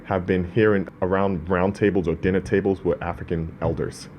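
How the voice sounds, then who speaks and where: A man's voice: low voice, steady tone, a little fast, lo-fi sound, slight background noise. A man born in Ghana, speaking as a business owner in an interview, earnest and prone to restarts. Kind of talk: casual interview talk.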